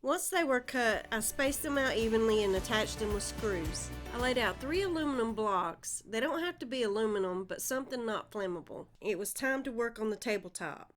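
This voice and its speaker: A woman's voice: a little high, lively intonation, normal speed, few pauses, soft background music. A woman with a Southern American accent narrating a home DIY project in a friendly, step-by-step way. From Southern United States. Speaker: DIY channel host. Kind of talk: instructional voice-over narration.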